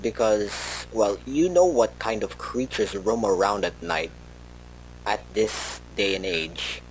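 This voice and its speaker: A man playing a worried researcher who records a rambling, halting, informal tape log for an analog horror story. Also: fairly low pitch, slight background noise, low-quality recording. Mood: uneasy. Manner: hesitant, halting, rambling with self-corrections.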